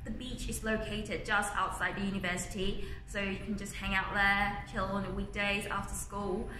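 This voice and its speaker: A woman's voice: mid-range voice, little pitch movement, normal speed. A young woman in her twenties from Thailand, speaking English with a Thai accent, earnestly answering interview questions as a medical student. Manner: earnest, plain-spoken, slightly non-native grammar. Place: indoors by large windows, university building. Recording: roomy echo with clear ambient noise.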